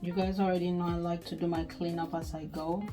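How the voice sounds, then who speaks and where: Nigerian woman, thirties, lowish voice, chatty crafting tutorial host, faint music under. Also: level tone, a little fast. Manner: chatty, thinking aloud while working. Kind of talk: casual step-by-step crafting tutorial.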